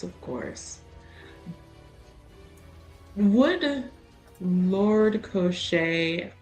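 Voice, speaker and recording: Female tabletop player, unhurried and wry, slightly low pitch, faint music behind. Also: rough recording. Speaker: player in a tabletop roleplaying game. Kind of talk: casual tabletop roleplay chat.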